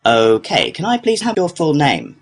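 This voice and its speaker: A man acting as a courteous male customer-service clerk. His voice is husky and rises and falls a lot as he works helpfully through a scripted procedure. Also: fairly high pitch, wildly swooping pitch, normal speed, exaggerated voice. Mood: courteous, businesslike. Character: courteous, helpful complaints clerk at an antiques company. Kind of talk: scripted listening-test dialogue.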